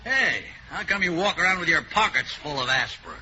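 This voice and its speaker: A fed-up police lieutenant from a 1940s radio comedy, talking fast in a slightly raised voice. The broadcast is muffled, with hiss underneath. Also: male voice, a little high, sing-song. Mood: exasperated. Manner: exasperated, quick. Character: exasperated male police lieutenant.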